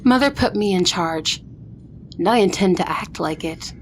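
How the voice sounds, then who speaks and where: A woman's voice: on the low side, expressive, slight background noise, natural voice. A woman playing an arrogant, ruthless vampire princess in an audio roleplay, speaking in a haughty, threatening way. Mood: cold, threatening. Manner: haughty, threatening, slow deliberate delivery. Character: arrogant vampire princess, ruthless eldest sister, war-hungry.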